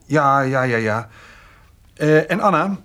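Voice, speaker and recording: An actor playing a hesitant, plain-spoken, good-natured farmer in a naturalistic radio drama, with a husky voice. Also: male voice, medium pitch, lively intonation, takes it slowly, sharp tone. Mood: businesslike, wary.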